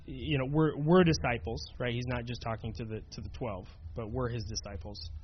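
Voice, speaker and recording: A man's voice: mid-range voice, steady tone, moderate pace, faint music behind. A man leading a Bible study in a conversational way, often checking in with his listeners. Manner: conversational, many fillers and "right?" checks. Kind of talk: casual teaching to a small group. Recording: dull lo-fi room recording, faint noise.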